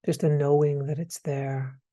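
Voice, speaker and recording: A man leading a guided meditation, speaking gently in hesitant phrases with soft pauses. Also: fairly high pitch, little pitch movement.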